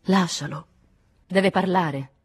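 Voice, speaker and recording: A fierce young woman acted by a female audiobook reader bent on vengeance. She is loud and harsh, pitched up and husky, pressing every demand violently. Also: lively intonation, brisk. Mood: menacing, furious. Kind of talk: dramatic audiobook character acting.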